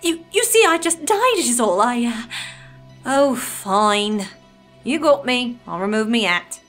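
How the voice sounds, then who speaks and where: An actress playing a female bat pony thief posing as a genteel lady in an audio drama, theatrical and drawn-out. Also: a little high, lively intonation, fairly bright tone, soft background music. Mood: indignant, cornered.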